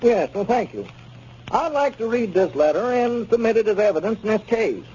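A muffled 1930s radio recording has a formal, pressing lawyer. His voice is high and husky, and he questions deliberately, in period radio-drama style. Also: sing-song, dark tone, exaggerated voice. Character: formal, pressing small-town plaintiff's lawyer. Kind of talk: 1930s radio comedy acting.

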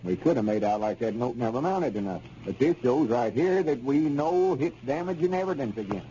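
Man acting exasperated rural shopkeeper, Southern drawl, lecturing and folksy, very mellow dark tone, muffled 1930s radio serial. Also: mid-range voice, lively intonation, a little fast, lightly acted. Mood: exasperated scolding. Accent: Southern US, rural Arkansas. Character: exasperated rural storekeeper, scolding his bumbling partner. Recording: dull, noisy 1930s radio recording.

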